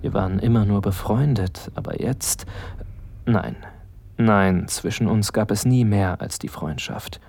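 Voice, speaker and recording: An actor playing an aroused, hesitant young man in love with his best friend, narrating an intimate audio drama in a hushed, breathy voice that often slips into whispers. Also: on the low side, measured pace. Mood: longing, hesitant.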